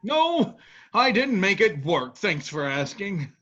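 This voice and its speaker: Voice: medium pitch, big pitch swings, slow pace, sharp tone, lo-fi sound, heavily acted. A performer playing an ambitious, self-absorbed inventor father in a theatrical staged reading, with an exclamatory, dramatic delivery. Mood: sullen.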